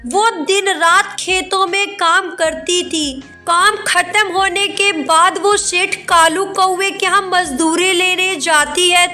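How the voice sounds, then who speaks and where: A woman's voice: very high voice, steady tone, slow, hardly any pauses, pushed and bright, soft background music. A woman narrating a scripted children's cartoon story.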